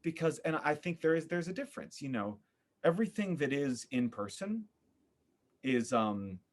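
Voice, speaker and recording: A man speaking conversationally as a podcast guest about theater, thoughtful and halting, often repeating words as he searches for phrasing. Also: on the high side, sing-song.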